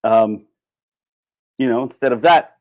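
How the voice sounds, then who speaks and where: A man giving a casual, opinionated livestream monologue in an animated, sardonic way. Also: mid-range voice, unhurried. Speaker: livestream host.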